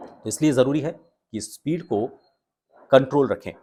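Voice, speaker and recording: A man with a mid-pitched voice presents a lesson straight to his viewers. He explains step by step like a coach, pausing between phrases and putting weight on important words. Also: lively intonation. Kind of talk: instructional talk to viewers with spoken examples.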